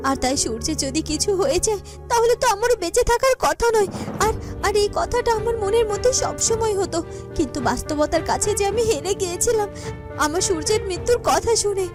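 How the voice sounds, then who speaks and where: A woman's voice: extremely high pitch, lively intonation, brisk. A woman playing a devoted, emotional young heroine in a melodramatic fairytale cartoon, earnest and full of feeling. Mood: wistful. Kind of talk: melodramatic Bengali cartoon voice acting. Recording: music behind the voice.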